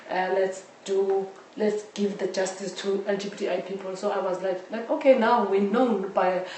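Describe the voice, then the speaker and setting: A woman with a South African accent answering interview questions in a halting way, often repeating words. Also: medium pitch, fairly even intonation, dark tone. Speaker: interviewee, audio drama creator. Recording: roomy echo, distant mic, faint noise.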